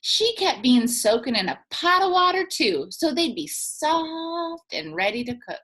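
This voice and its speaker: A woman reads a picture book aloud like a teacher to young children. Her voice is bright and rather high, with very lively pitch and drawn-out emphasis. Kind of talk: animated children's storybook read-aloud.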